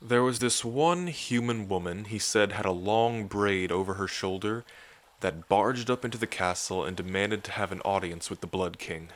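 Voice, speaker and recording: A man's voice: fairly low pitch, a bit bright. A man playing an affectionate dragon king in an intimate ASMR roleplay, speaking in a casual, tender way to a lover. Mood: musing. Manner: tender, casual, hesitant when moved.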